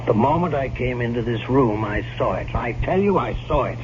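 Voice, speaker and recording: An actor playing a grave, blunt family doctor in an old-time radio drama, speaking emphatically and with foreboding. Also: male voice, mid-range voice, expressive, lightly acted. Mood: ominous warning. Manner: emphatic, deliberate, ominous. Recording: dull, noisy vintage radio recording.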